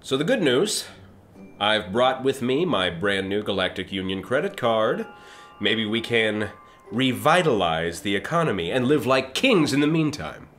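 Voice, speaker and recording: Man playing a pompous, animated starship captain. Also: fairly low pitch, a little slow, faint music behind. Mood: smug, impatient. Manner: haughty, theatrical, dismissive. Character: pompous, self-centred, sarcastic male starship captain. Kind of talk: comedic sci-fi radio play acting.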